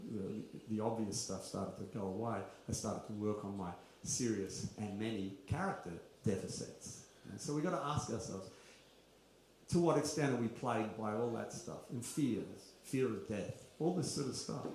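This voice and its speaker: A man's voice: lowish voice, darkish tone. A middle-aged man gives an informal, confessional spiritual talk at a kirtan gathering, full of rhetorical questions and wry asides. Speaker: kirtan speaker. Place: large echoey room at a kirtan gathering. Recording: very roomy, faint background noise.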